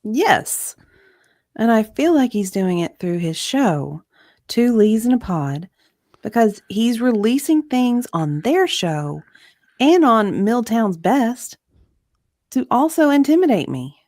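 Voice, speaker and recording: A woman's voice: a little high, very animated, slow, darkish tone, dry recording, studio quality, full character voice. Woman reading as uneasy witness in a deposition. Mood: anxious. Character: aggrieved, intimidated journalist testifying as witness. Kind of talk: dramatic reading of a legal deposition transcript.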